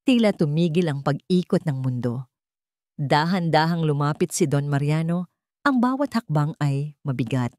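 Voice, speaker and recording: A Filipino woman narrating a scripted dramatic story in a measured, emotive way with deliberate pauses. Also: sits low, lively intonation, darkish tone, no echo, clean studio sound.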